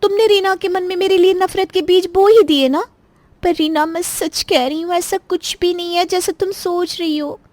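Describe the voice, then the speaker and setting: Casting: woman as indignant, youthful elder sister-in-law for cartoon drama, extremely high-pitched, dry studio sound. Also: steady tone, normal speed, darkish tone, no room sound. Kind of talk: Hindi cartoon voice acting.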